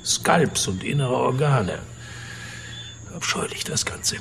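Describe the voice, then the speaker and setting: A man's voice: mid-range voice, sing-song, slow pace, gravelly, fairly bright tone, slight background noise, heavily acted. An actor playing a crude, boastful, middle-aged wealthy father in a radio drama, with a mocking, theatrical manner. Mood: grim recounting.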